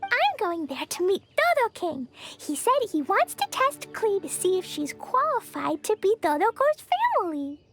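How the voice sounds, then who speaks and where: A woman's voice: very high-pitched, sing-song, moderate pace, fairly bright tone, full character voice. A woman voicing a small, innocent and earnest little girl in an anime video game. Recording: children's music behind the voice.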